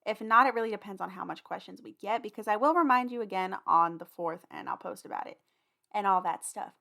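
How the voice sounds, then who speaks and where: A woman podcast host talks in a casual, chatty way. Her pitch is middling for a woman, and she addresses listeners familiarly, rambling a little through her announcements. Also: a little fast, creaky, bright, forceful tone.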